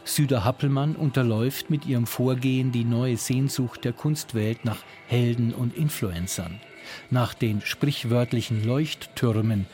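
A man reporting as a culture journalist, reading a scripted radio feature in a measured, descriptive way. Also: medium pitch, mellow, slight background noise.

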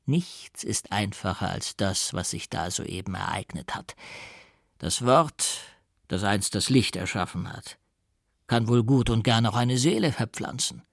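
Man voicing a gravelly, low, sly old doctor. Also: expressive, dry recording, clean studio sound, heavily acted. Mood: soothing, sly. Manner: theatrical, drawn-out, mocking and self-satisfied. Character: old gloating doctor and mystic, slyly triumphant, male. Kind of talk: dramatised audiobook reading.

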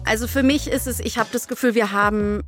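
A woman's voice: fairly high pitch, steady tone, a little fast, fairly bright tone. A woman in casual discussion as a podcast co-host, candid and self-ironic. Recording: music behind the talk. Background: music.

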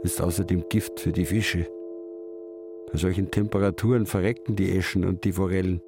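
Gravelly, brooding old fisherman. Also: low-pitched, steady tone, moderate pace, over music. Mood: worried, grumbling. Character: old fisherman after a stroke, stubborn, brooding. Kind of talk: literary radio drama narration in third person.

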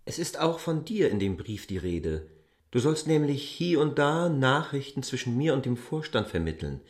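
An actor playing a formal, self-assured newcomer in a literary radio drama, with a husky, deliberate delivery. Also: male voice, mid-range voice, very animated, no echo. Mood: formal, composed. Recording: dry studio with faint music.

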